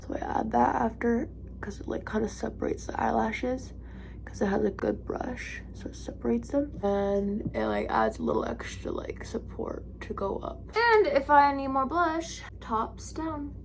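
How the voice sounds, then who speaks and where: A young woman with a raspy voice, chatting casually through a makeup tutorial, rambling and correcting herself as she goes. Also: fairly high pitch, lively intonation, moderate pace, a little hiss or hum, low-quality recording. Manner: rambling, self-correcting, lots of "like". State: raspy today. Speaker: vlogger.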